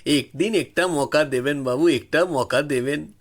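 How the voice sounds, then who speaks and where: A man's voice: a little high, a little slow. A man voicing a humble, eager rustic in his late fifties with a Hindi-tinged accent, fawning and repeating himself in a story. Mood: effusive, pleading. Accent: non-Bengali, Hindi-tinged Bengali. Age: 50s. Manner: obsequious, effusive, repetitive.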